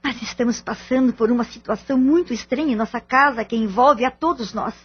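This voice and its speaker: Anxious Brazilian mother played by a woman, formal audio-drama delivery, slightly husky, dull lo-fi sound. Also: on the high side, brisk. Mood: worried, confiding. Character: Idalina, anxious polite wife and mother. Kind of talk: audio-novel acting, formal scripted dialogue.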